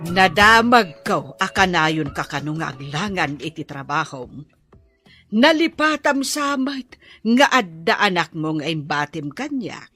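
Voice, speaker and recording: Woman acting a sharp, scolding older mother. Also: lowish voice, very animated, a little slow, faint music behind, heavily acted. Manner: reproachful, scolding. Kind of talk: Ilocano audio drama acting.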